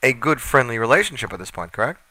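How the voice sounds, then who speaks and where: A man's voice: medium pitch, big pitch swings, quick pace, bright, forceful tone, no room sound. A man hosting a radio interview, chatty and enthusiastic, asking questions in stacks.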